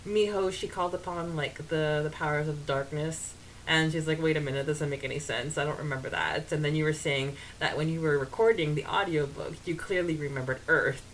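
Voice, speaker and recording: Female podcast co-host, slightly low voice, halting conversational delivery with lots of fillers, faint background noise. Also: low-quality recording. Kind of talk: casual podcast chat.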